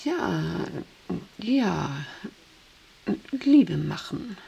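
An actress playing a prim, controlling, easily flustered factory boss in a radio drama, by turns halting and imperious. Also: female voice, lowish voice, sing-song, gravelly, warm tone, slightly rough, exaggerated voice. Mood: hesitant, awkward. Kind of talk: German radio drama acting.

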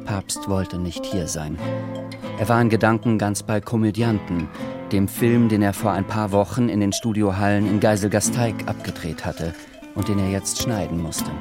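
A man reading scripted literary narration for a radio drama. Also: deep voice.

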